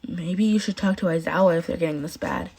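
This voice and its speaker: Woman reading a gentle, supportive teenage boy. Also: on the low side, fairly quick, creaky, husky. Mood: gentle, concerned.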